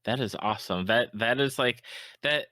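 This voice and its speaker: A man's voice: mid-range voice, normal speed, bright, forceful tone, dry recording, clean studio sound. A man hosting a podcast, chatting casually and agreeably about video games with enthusiasm. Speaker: podcast host.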